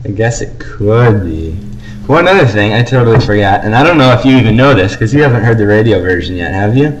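A man's voice: fairly low pitch, lively intonation, a little fast, muffled recording, a crowd in the background. A man co-hosting a casual fan podcast, talking about things he likes with effusive enthusiasm. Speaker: podcast co-host. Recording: noisy amateur podcast recording.

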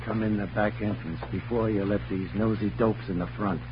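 A man's voice: lowish voice, fairly even intonation, brisk, background noise, close to an everyday voice. An actor playing a desperate, menacing gangster gunman with slangy tough-guy talk in 1940s radio crime drama style. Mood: threatening. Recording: muffled, humming old radio recording.

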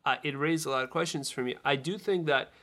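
A man on a podcast panel giving casual, analytical film criticism in a measured way. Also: fairly high pitch, a bit bright.

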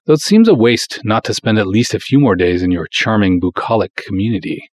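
A man's voice: lowish voice, animated, fairly quick, darkish tone. A man voicing a small, sly foreign scholar, unctuous and formally polite, in a pulp storybook reading. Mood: courtly. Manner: formal, courtly.

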